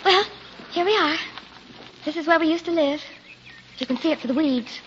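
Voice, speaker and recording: An actress in a 1940s radio drama playing an earnest grown daughter, speaking in a clearly enunciated way. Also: female voice, high voice, normal speed, lightly acted. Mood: gentle, explaining. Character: earnest grown daughter of a long-lost father. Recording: muffled old 1940s radio recording, faint noise.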